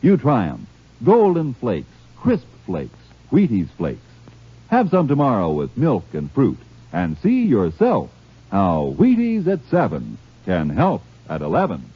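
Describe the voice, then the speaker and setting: 1950s American radio ad man, wildly swooping pitch. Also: huge pitch swings, a little slow, husky. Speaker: commercial announcer. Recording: dull vintage 1950s radio recording.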